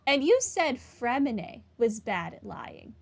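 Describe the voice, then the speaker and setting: A woman's voice: on the high side, sing-song, slow, gravelly, a little hiss or hum. A woman hosting a playthrough, chatting casually and reacting aloud to the game. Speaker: gaming video host. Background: game music.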